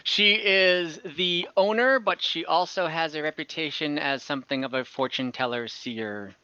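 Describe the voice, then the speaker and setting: A man with a bright, keen-edged voice narrates as game master in a tabletop session. He speaks casually and haltingly, building the scene as he goes. The online chat audio is a little dull. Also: on the high side, smooth, no room sound. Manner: halting, casual, searching for words. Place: online group voice call.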